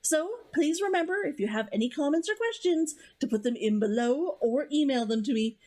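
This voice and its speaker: Giggly woman author chatting to her viewers, high voice that bounces all over. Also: expressive, fairly quick, mellow. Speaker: author and read-along host. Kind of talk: casual chat about writing to viewers.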